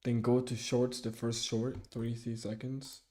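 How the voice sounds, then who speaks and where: A young man streaming live and answering viewer comments in a halting, casual way, with many restarts and fillers. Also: medium pitch, level tone, a little mellow. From Sweden.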